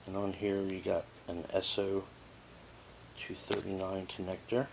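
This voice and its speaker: Low gravelly man, casual product reviewer. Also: level tone, measured pace. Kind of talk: casual hands-on product overview. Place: living room indoors. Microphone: tablet's built-in microphone. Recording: noisy amateur tablet recording.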